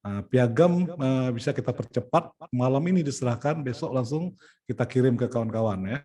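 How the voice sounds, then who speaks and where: Mellow-voiced Indonesian man organizing an event. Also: medium pitch, normal speed. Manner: explaining logistics step by step, frequent "nah" and "ya". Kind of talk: planning meeting talk.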